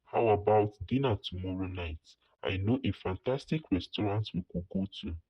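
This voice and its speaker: A man voicing a smooth-talking young pretender posing as rich, in storybook dialogue with slightly muffled sound. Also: lowish voice, moderate pace. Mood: smooth, charming.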